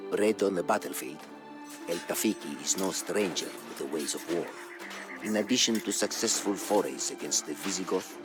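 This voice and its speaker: A very deep old war chronicler. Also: male voice. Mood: solemn resolve. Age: older. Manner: grave, measured storytelling. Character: aged solemn Umayyad chronicler of war campaigns, male. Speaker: narrator. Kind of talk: dramatic scripted storyline narration.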